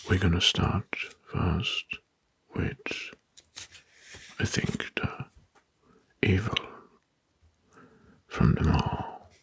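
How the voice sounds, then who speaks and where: A man whispering an ASMR history narration into a close microphone, hushed and deliberate, softly repeating key phrases. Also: sits low. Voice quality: breathy, mostly unvoiced whisper. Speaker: ASMR host.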